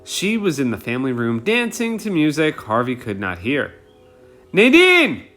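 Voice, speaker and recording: Guy reading a kids book out loud, really animated, bit of music playing. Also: mid-range voice, normal speed. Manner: animated, addresses young listeners. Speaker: read-aloud storyteller. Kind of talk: expressive children's picture book reading.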